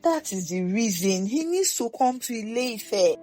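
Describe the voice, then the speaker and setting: A woman's voice: fairly high pitch, very animated, a little slow, mellow, soft background music. A woman voicing an elderly Nigerian grandmother in a folktale, firm, wise and given to exclamations. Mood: shocked, insistent. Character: elderly Nigerian grandmother, calm, firm, proverb-speaking, easily shocked.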